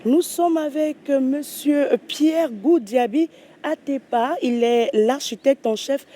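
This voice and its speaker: A woman's voice: high voice, slow pace, warm tone, slight background noise. A woman hosting a television interview, asking polite, formal questions.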